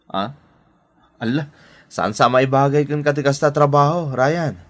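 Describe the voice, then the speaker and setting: A man's voice: mid-range voice, measured pace, natural voice. Man acting a kind, dutiful young Ilocano man. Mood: concerned, kind. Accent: Filipino, Ilocano. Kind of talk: Ilocano radio drama acting.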